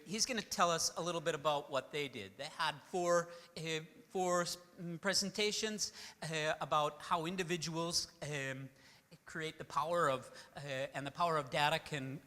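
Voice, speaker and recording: A man moderating a conference wrap-up in a conference hall, with a loud voice. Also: a little high. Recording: echoey hall recording from far off, noisy.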